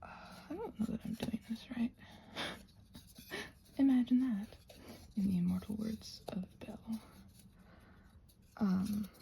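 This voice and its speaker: An American woman rambling casually while she does crafts, halting and trailing off into soft-spoken asides. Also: a little high, creaky, slight background noise. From United States. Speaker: craft and ASMR channel host.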